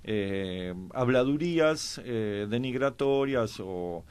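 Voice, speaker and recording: A man with an Argentine accent in a panel discussion, explaining in a halting, hesitant way. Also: medium pitch, expressive, a little mellow, clean tone. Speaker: panelist on a history programme.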